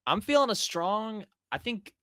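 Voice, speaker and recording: A man's voice: high-pitched, sing-song, a little slow, bright, forceful tone, smooth, dry recording, studio quality. A man co-hosting a podcast, talking casually and off the cuff. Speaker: podcast co-host. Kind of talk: casual chat.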